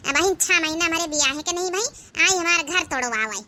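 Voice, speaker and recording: A woman's voice: very high voice, lively intonation, a little fast, pushed and bright, slight background noise. A woman with an Awadhi accent performing comedy cartoon dialogue in a chattering, complaining village-gossip manner.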